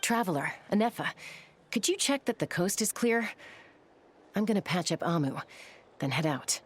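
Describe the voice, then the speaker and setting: Game role: cautious female protector, lower register, practical and serious. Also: expressive, normal speed, breathy edge. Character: level-headed, protective woman leader, cautious and caring. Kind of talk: video game voice acting.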